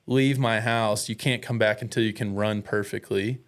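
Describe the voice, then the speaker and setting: A man's voice: fairly low pitch, fairly even intonation, moderate pace. An American man talking as a guest in a casual, candid way, telling personal stories with earnest, self-deprecating, slangy speech. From United States.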